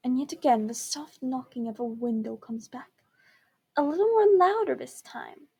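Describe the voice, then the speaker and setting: A woman's voice: high voice, big pitch swings, normal speed, vocal fry, a little mellow, husky. A woman reading scripted story narration aloud. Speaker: student reader.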